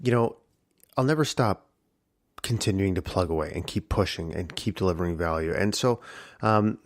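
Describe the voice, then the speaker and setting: Husky-voiced man talking at dawn. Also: sits low, moderate pace, no room sound, clean studio sound. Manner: reflective, rambling with many fillers. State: early-morning tired voice. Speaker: podcast host. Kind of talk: casual solo talk.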